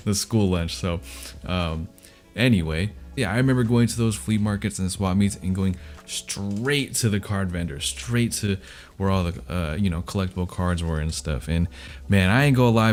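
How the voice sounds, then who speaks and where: A young American man chatting casually and telling stories to viewers in a laid-back, slangy, self-deprecating way. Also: fairly low pitch. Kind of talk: casual rambling chat, storytelling.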